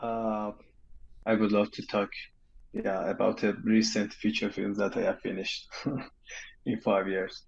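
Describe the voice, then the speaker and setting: A man from Iran with a Persian accent, answering interview questions haltingly with many fillers and shy laughs, heard over a video call from home. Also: fairly low pitch, little pitch movement, vocal fry, highs cut off. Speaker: guest animator.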